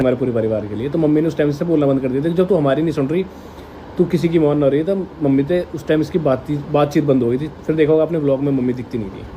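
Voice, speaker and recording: Mellow-voiced man, slightly high. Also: slight background noise. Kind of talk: casual personal explanation to camera.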